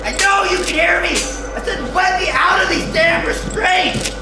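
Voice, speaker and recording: A man's voice: extremely high pitch, expressive, moderate pace, vocal fry, recorded from a distance, heavily acted. An actor playing a deranged, raging male psychiatric patient, desperate and profane, in a horror audio drama. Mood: enraged, desperate. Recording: roomy echo, off the mic, music.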